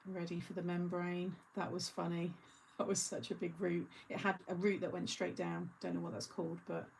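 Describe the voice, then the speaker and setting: A woman's voice: lowish voice, little pitch movement, moderate pace, creaky, darkish tone, a little hiss or hum. A middle-aged woman hosting a craft channel, chatting casually and rambling through asides with frequent laughter. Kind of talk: casual live chat.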